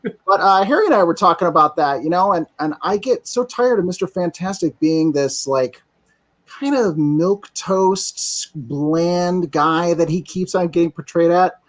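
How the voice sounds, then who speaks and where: Easygoing fifty-something man, higher pitch. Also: measured pace. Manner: easygoing, self-deprecating, rambling. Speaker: voice actor guest. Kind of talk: casual podcast interview.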